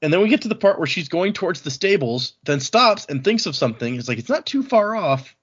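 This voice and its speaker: A man's voice: high-pitched, very animated, a little fast, husky, muffled recording. A man co-hosting a book podcast, retelling a story in casual, colloquial chat and acting out bits of dialogue. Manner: informal, colloquial, voices characters' lines in paraphrase. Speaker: podcast co-host leading a book read-along.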